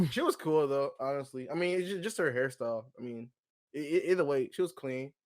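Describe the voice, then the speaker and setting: A man co-hosting a podcast, talking casually and conversationally with lots of slang and animated opinions. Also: medium pitch, fairly quick. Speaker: podcast co-host. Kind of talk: casual movie discussion chat.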